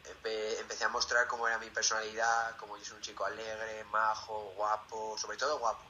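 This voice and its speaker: Late-teen male, Spain Spanish accent, casual interviewee, very bright forward tone, slight background noise. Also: lowish voice, monotone, a little fast. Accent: Peninsular Spanish. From Zaragoza, Spain. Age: late teens. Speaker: interviewee, student.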